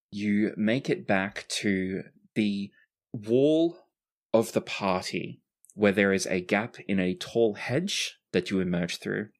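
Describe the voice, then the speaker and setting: Game master telling a fantasy scene. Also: medium pitch. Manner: casual, swears freely. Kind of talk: narrating a tabletop roleplaying game.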